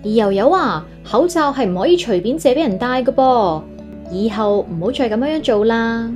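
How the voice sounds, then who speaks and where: Woman acting a teacher, children's story, instructive, lively pitch movement, Hong Kong Cantonese accent. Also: normal speed, soft background music, close to an everyday voice. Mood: admonishing. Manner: instructive, addressing pupils.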